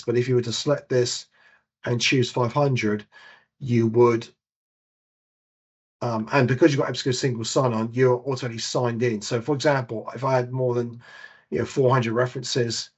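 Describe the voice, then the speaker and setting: A man with a British accent leading a software demonstration webinar as a database trainer. He explains each step while he clicks through the screens and corrects himself now and then. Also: mid-range voice, breathy edge. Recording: dull lo-fi webinar audio.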